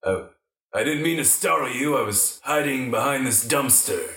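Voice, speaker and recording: A man's voice: medium pitch, lively intonation, normal speed, pushed and bright. A man playing a meek, creepy alley beggar in an improvised radio play, apologetic and awkward. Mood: sheepish, eerie. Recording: echoey room.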